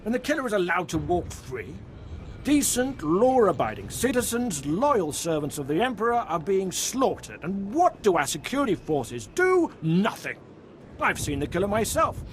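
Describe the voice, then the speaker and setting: An actor playing an outraged, self-righteous citizen of an evil empire in a video game, indignant and declamatory, with some noise behind. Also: male voice, high voice, sing-song, normal speed. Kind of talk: dramatic video game acting.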